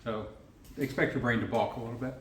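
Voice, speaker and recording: Guy running a comedy writing class, deadpan and sarcastic, echoey room, kinda far from the mic. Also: medium pitch, quick pace. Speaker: comedy writing workshop leader. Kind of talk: running a group writing exercise. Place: crowded room with many people talking. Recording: echoey room, from a distance, light noise.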